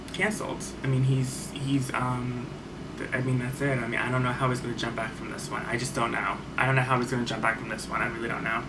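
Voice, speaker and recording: A man chats through a personal story on his vlog, at a middling pitch, rambling and restarting his sentences as he goes. He sits a bit back from the mic, so his voice comes across distant, and a steady noise runs under his talking. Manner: rambling, many fillers and restarts. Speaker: vlogger telling a story. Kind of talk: casual storytime monologue. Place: at home. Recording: faint far-off mic with noticeable background noise.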